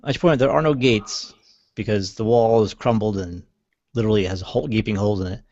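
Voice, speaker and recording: A man improvising scene descriptions as game master, halting and hesitant as he goes. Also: fairly low pitch, a little mellow. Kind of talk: improvised tabletop game narration. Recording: dull, roomy lo-fi recording.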